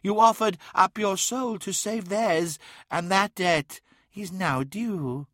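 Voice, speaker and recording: A man's voice: sits high, slow pace, a bit bright, dry recording, clean studio sound, voice pushed up, full character voice. A man playing an ancient, boastful demon god, speaking in a grandiose, taunting and commanding way in a fantasy audiobook. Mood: taunting, menacing.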